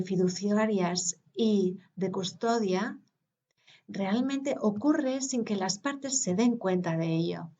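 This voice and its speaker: A woman, interpreting a conference talk live, hesitant with pauses and filler sounds. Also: medium pitch, darkish tone, highs cut off.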